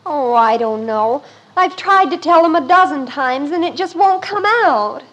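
An actress playing a melodramatic, lovesick American teenage daughter with plaintive delivery in a 1950s family radio comedy. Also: female voice, high-pitched, animated, measured pace, a bit bright. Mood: anxious. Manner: plaintive, overwrought. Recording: noisy old radio broadcast recording.

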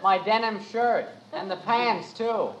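An actor playing an eager, oblivious teenage son in a mid-century radio comedy, chatty and guileless. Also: male voice, sits high, slow, a bit bright, exaggerated voice. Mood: hopeful. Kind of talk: 1940s-50s radio sitcom acting. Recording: dull lo-fi old radio recording.

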